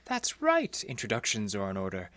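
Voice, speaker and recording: Suave, wildly expressive vampire gentleman. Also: lowish voice, moderate pace, no echo. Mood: courtly, eager. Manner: formal, polite, elaborately courteous. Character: suave, mysterious male vampire stranger, courtly and sly. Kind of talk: horror audio drama acting.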